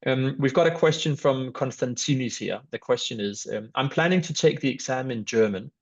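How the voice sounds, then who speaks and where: A man's voice: mid-range voice, normal speed, highs cut off. A man hosting a webinar, politely reading out audience questions to a guest over a video call. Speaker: webinar host. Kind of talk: moderating a webinar Q&A.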